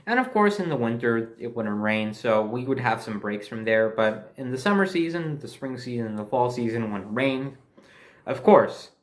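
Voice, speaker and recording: Man, American accent, mid-range pitch, casual storyteller, conversational, emphatic delivery. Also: animated, normal speed. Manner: conversational, emphatic, mildly profane. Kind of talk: casual personal anecdote storytelling.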